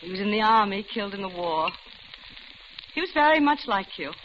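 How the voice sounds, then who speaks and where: Actress as polite, chatty middle-aged widow with growing unease, old-time radio suspense, muffled noisy recording. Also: female voice, mid-range voice, very animated, fairly quick, lightly acted. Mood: wistful. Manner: polite, talkative, hesitant. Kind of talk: 1940s radio acting, first-person narration and dialogue.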